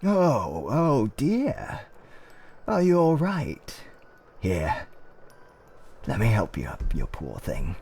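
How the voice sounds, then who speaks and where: A man playing a gentle, courteous tavern keeper in a fantasy roleplay, with soothing, old-fashioned politeness and theatrical hums and pauses. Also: mid-range voice, huge pitch swings, gravelly, a little hiss or hum, full character voice. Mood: concerned, soothing. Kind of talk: roleplay ASMR character acting.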